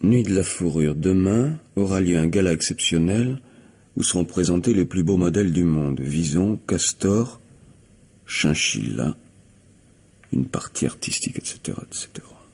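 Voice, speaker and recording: A cruel, money-minded lover in a radio mystery drama. His deep voice swings widely as he gives contemptuous, impatient orders to the one he controls. The tone is husky and domineering. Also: expressive, moderate pace, warm tone, exaggerated voice. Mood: coolly commanding. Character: cruel, domineering, money-grubbing lover bullying a submissive woman.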